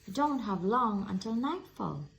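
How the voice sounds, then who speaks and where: A woman voicing a small, anxious male mouse in a storybook, fretful and squeaky. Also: sing-song, unhurried, vocal fry, lo-fi sound, a little hiss or hum. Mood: worried, anxious.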